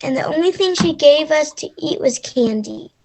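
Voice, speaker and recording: A woman's voice: high voice, lively intonation, moderate pace, creaky, a little mellow, rough recording. A woman playing a defensive, fibbing young girl who protests insistently in a readers theater play. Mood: indignant, dramatic.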